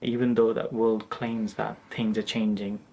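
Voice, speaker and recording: A man, an artist, speaking reflectively and hesitantly in a documentary interview, with many pauses and restarts. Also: on the low side, level tone, vocal fry, darkish tone, highs cut off.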